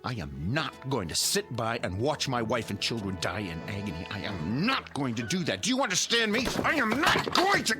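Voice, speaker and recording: A man's voice: mid-range voice, wildly swooping pitch, moderate pace, few pauses, over loud music. An actor playing a desperate suburban father in a radio drama, pleading and stammering. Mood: desperate anger.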